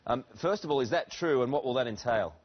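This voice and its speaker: A man's voice: mid-range voice, expressive, quick pace, lo-fi sound. A man with an Australian accent asking probing political questions as an interviewer, insistent, with stammered starts. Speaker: news interviewer.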